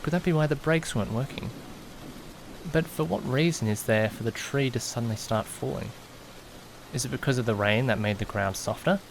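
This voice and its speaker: A man's voice: mid-range voice, brisk, vocal fry, slight background noise, lightly acted. A man playing an anxious, easily panicked young man who narrates his own misadventure in an audio drama, dramatic and questioning himself. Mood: anxious, alarmed. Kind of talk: first-person audio drama narration with acted dialogue.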